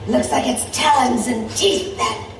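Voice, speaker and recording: A woman's voice: sits high, a little slow, gravelly, slight background noise. A woman playing a predatory siren sea monster in an audio drama, mocking, haughty and theatrical, savoring her formal words. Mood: taunting, haughty. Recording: roomy, distant voice over music.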